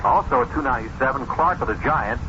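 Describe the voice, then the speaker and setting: A man's voice: mid-range voice, fairly quick, sharp tone. A man working as a radio baseball broadcaster, reading out statistics on air. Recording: noisy, dull old radio broadcast.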